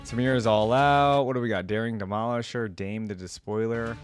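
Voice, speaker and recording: Guy doing a reaction vid, thinks out loud, regular pitch, music going behind him. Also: steady tone, fairly quick. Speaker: reaction video host. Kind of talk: casual reaction commentary. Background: game music and character voice lines.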